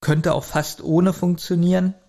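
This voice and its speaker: A man's voice: high voice, little pitch movement, measured pace, mellow. A man, speaking casually as a podcast co-host giving a review.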